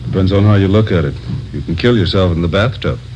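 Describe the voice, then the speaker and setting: Noisy old-time radio drama. A commanding chief of detectives played by a man talks in a very low voice. He is curt, authoritative and all business. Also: quick pace, close to an everyday voice. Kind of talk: 1950s radio drama acting.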